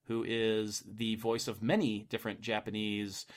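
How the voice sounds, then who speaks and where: A man's voice: fairly low pitch, little pitch movement, measured pace. Laughing, rambling male podcast host. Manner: laughs often, rambling with fillers. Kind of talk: casual group chat.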